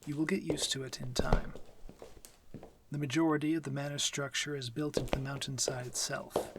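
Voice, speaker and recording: A man playing a soft-spoken, commanding vampire lord. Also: medium pitch, flat delivery, background noise, natural voice. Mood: calm, instructive. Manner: formal, measured, softly breathy, often near a whisper. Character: courteous, commanding male vampire lord of a manor. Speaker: audio roleplay voice actor. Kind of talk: slow, intimate ASMR fantasy roleplay.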